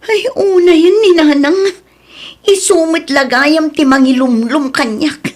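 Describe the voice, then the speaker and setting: Radio drama daughter, played by a woman: high-pitched, accusing, anguished, Ilocano Filipino accent. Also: unhurried, darkish tone. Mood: bitter complaint. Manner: wailing, reproachful. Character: betrayed adult daughter back from working abroad, anguished, accusing.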